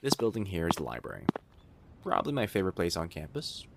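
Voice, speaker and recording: A man playing a friendly, attentive young kitsune college student in an audio roleplay, speaking directly to the listener with soft teasing and hesitant pauses. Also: low voice, wildly swooping pitch, vocal fry, heavy background noise, heavily acted. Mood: calm, musing. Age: young adult. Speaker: campus guide to a new student. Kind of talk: scripted boyfriend-style audio roleplay.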